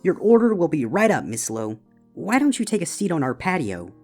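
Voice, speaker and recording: A woman as a lively, teasing unicorn barista. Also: lowish voice, fairly quick. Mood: cheerful. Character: elegant unicorn tea shop barista, female, cheerful and teasing. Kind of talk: scripted story dialogue. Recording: music bed behind the voice.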